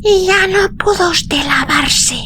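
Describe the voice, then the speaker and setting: Guy doing tiny five year old girl in an audiobook, crazy high squeaky voice, slow n earnest, bright. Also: soft background music, exaggerated voice. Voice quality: squeaky, childlike. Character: innocent, serious little girl of five, frank and plaintive.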